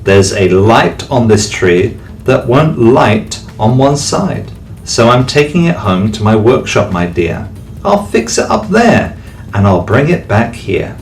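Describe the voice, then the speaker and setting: A man's voice: medium pitch, big pitch swings, slow. Man doing a sly, slick Grinch. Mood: wheedling. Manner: sly, wheedling lies. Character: sly scheming male Grinch, grumpy and slick. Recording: voice from off-mic, faint music.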